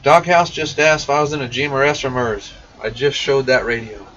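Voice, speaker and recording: A man's voice: medium pitch, fairly even intonation, fairly quick, fairly bright tone. A man hosting a casual shop livestream, reading and answering viewer comments in a folksy, informal way. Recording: muffled, distant mic with faint noise.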